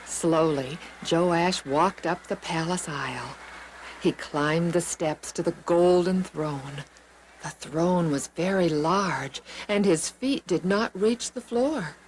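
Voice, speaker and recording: Woman reading children's stories, slow and level. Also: fairly low pitch, slight background noise, rough recording. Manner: expressive, deliberate storytelling. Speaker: storyteller. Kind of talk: scripted children's Bible story narration. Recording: music behind the reading. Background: background music.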